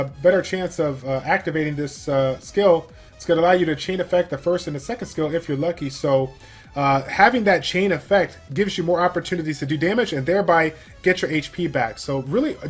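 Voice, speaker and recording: A man with a slightly high voice hosts a game livestream, reading viewer questions aloud and chatting through his answers. He talks loosely, with plenty of fillers. Faint game music plays underneath. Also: breathy edge. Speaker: stream host.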